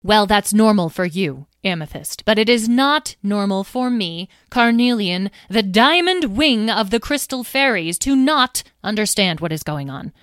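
A woman's voice: mid-range voice, lively intonation, a little slow, few pauses, a bit bright, no echo, clean studio sound, voice pushed up. A woman voicing a proud, imperious fairy leader in a storybook who snaps and lectures the others. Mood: pompous. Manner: snappish and haughty.

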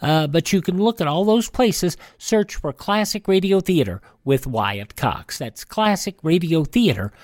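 A man's voice: fairly high pitch, animated, unhurried, few pauses, darkish tone, dry recording, studio quality. A man hosting a radio program, presenting and giving promotional talk. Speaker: radio show host.

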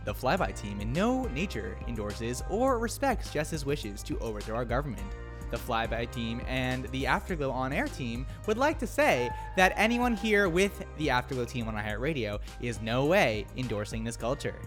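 A young woman from outside Boston with a Boston accent, hosting a casual podcast chat, playful and quick to laugh. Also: extremely low pitch, expressive, over music. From outside Boston, Massachusetts. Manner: playful, laughs often, mock-formal asides. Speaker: podcast host.

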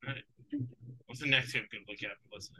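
Male betting-show host, second-language English speaker, very bright tone, rambling asides. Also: fairly low pitch, fairly even intonation, fast talker, rough recording. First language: not English. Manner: rambling, digressive, chuckles often. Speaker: sports betting podcast host. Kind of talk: casual betting talk.

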